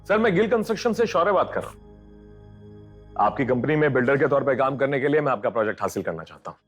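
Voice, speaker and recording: An ambitious, calculating grandson in business. His delivery is animated at a medium pitch, with faint music behind. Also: quick pace. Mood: eager. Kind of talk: Hindi audio drama acting.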